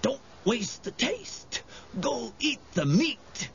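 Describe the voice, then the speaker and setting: A man's voice: high-pitched, wildly swooping pitch, a little slow, pitched above the performer's own voice, exaggerated voice. A man voicing a sly, goading creature with a hissing, sing-song, taunting manner in a storybook. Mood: goading, chanting. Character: sly, goading creature tempting a boy toward bloodlust. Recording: dull audio with faint noise.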